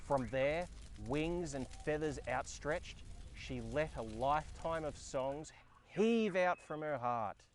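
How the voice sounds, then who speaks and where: An Australian man narrating a children's storybook in a dramatic, expressive way. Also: fairly high pitch, slow. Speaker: storyteller and host. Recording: background ambience and effects noise. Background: sound effects and ambience.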